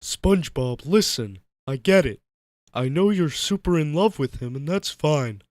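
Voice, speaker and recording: A man's voice: on the high side, lively intonation, measured pace, darkish tone, no echo, clean studio sound, voice pushed up. Cartoon starfish best friend played by a man: exasperated but caring, plaintive, voice pushed upward. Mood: exasperated, needy. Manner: plaintive, reproachful. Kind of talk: dramatic fan fiction reading.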